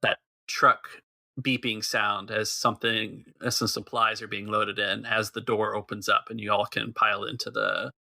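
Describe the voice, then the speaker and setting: A man's voice: lowish voice, level tone, moderate pace, gravelly, bright, forceful tone, dry recording, studio quality. A man narrating a tabletop role-playing game as game master, setting scenes and calling for dice checks.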